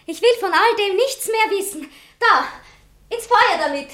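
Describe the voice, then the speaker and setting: An anxious society lady in a theatrical comedy. Her voice is high. She is impulsive and urgent, with dramatic exclamations. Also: expressive, unhurried, pushed and bright, exaggerated voice. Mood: desperate, passionate. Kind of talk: theatrical stage comedy dialogue.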